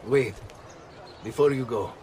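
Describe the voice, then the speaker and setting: An actor playing a dignified, grave Renaissance nobleman with an Italian accent in a video game cutscene, speaking in a measured way. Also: medium pitch, warm tone, slight background noise, lightly acted. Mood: gracious.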